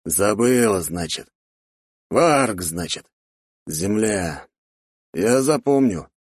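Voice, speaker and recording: A man's voice: medium pitch, fairly quick, a little mellow, dry recording, clean studio sound, voice pushed up, natural voice. A man voicing a stern, protective middle-aged count with an authoritative manner in a fantasy audiobook. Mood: probing, suspicious. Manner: authoritative, commanding.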